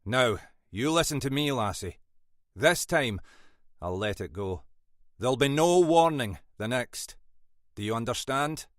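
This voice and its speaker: A man's voice: mid-range voice, expressive, a little slow, long pauses, no room sound, clean studio sound, voice pushed up, lightly acted. A man voicing a blunt, scolding older Scottish detective boss in an audiobook. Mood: stern, commanding. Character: gruff older Scottish male detective boss, stern but fatherly. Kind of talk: audiobook character dialogue.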